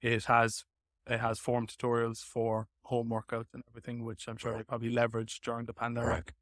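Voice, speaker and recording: A man hosting a casual tech podcast chat, with hesitant, halting phrasing. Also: mid-range voice, completely flat delivery, no echo, studio quality. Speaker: podcast host.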